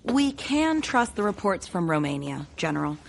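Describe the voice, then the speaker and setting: An actress playing a precise, assured intelligence analyst in a radio drama, matter-of-fact in manner. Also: female voice, lowish voice, sing-song, normal speed, creaky, slight background noise.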